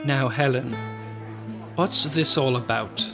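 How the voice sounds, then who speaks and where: A man's voice: medium pitch, slow, gravelly, over loud music. A man playing a skeptical, condescending friend in an old-time radio melodrama, dismissive and exasperated. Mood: skeptical exasperation. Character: skeptical, condescending male friend of a frightened woman.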